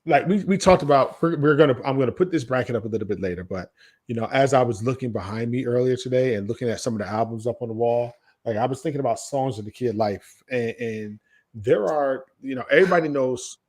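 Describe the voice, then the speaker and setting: A man's voice: mid-range voice, fast talker, darkish tone. A man hosting a podcast in casual, slangy chat, often repeating phrases for emphasis. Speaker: podcast host.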